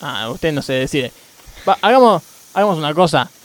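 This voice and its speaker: A man's voice: a little high, animated, normal speed, close to an everyday voice. A man with an Argentine accent playing an evasive, stubborn car mechanic who makes hesitant, repetitive excuses in a radio comedy sketch.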